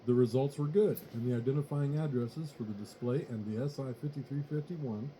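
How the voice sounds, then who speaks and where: A man narrates a scripted how-to in a very soft-edged, dark voice at a middle pitch. His delivery is measured and matter-of-fact, with faint noise in the recording. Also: rough recording. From United States. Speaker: electronics tutorial narrator.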